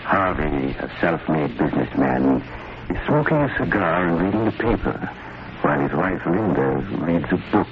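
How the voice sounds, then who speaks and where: A man acting as a wry, genial storyteller in an old radio mystery, narrating in a measured, theatrical way. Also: extremely low pitch, very animated, noisy background. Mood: wry, ominous. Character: ominously genial male storyteller of murder tales. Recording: dull vintage radio recording, music behind. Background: music.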